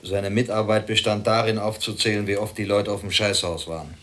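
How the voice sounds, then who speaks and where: A man's voice: fairly low pitch, flat delivery, a little fast, fairly bright tone, lightly acted. An actor playing a scornful, coarse male East German security officer in a radio play. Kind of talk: radio drama dialogue.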